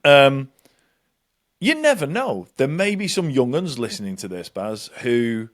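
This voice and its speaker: A man's voice: mid-range voice, sing-song, unhurried. A man, co-hosting a casual podcast, joking, swearing and laughing a lot. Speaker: podcast co-host. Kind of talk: casual banter.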